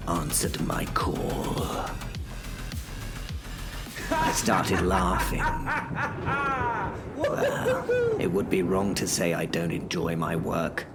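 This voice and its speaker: A man narrating a horror audio drama in the first person, brooding and dramatic. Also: low-pitched.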